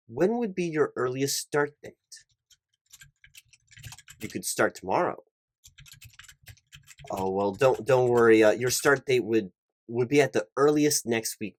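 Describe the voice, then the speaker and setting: Low-voiced, fussy corporate hiring manager played by a man. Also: close to an everyday voice. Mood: flustered, halting. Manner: halting, repetitive, over-careful, formal. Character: polite, fussy, slightly flustered male corporate hiring manager. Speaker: recruiting manager in a roleplay. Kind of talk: one-sided ASMR job interview roleplay.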